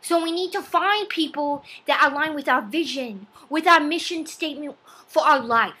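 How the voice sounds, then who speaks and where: Casting: nerdy fifteen-year-old boy vlogger played by a woman, high bright voice, earnest and preachy amateur self-help delivery. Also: moderate pace. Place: small home room. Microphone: laptop webcam at arm's length.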